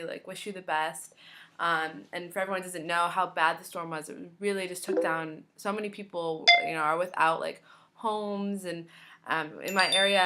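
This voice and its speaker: A young woman talks casually to her viewers, filling her sentences with ums and likes. Her voice is a little hoarse from overuse, yet her tone stays bright and sharp. She sits a bit lower in pitch than most women. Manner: chatty, many ums and likes. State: slightly hoarse, losing her voice. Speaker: vlogger. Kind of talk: casual chat to camera.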